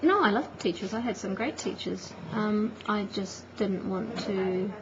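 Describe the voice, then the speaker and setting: A woman, a teacher answering interview questions in a relaxed, reflective way with easy laughs. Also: mid-range voice, unhurried. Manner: chatty, laughs easily, reflective. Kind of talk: casual phone interview. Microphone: phone. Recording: muffled phone-call recording with crowd noise behind.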